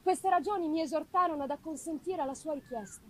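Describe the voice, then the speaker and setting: A woman's voice: high voice, quick pace. A woman reading a gothic novel aloud as a dramatic literary narrator. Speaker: reader, narrator.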